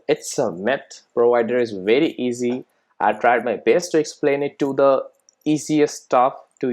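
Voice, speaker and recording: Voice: mid-range voice, a little mellow. Informal coding tutorial instructor, explanatory. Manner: explanatory, frequently checking understanding with tag questions.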